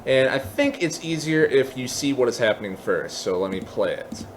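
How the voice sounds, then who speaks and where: A man presenting an informal software tutorial, thinking aloud and openly admitting when he is unsure of details. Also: medium pitch, expressive, moderate pace, slight background noise.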